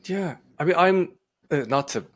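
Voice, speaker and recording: A man's voice: a little high, expressive, moderate pace. A man hosting a podcast, asking long, thoughtful, winding questions. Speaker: podcast host and interviewer. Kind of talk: interview questions.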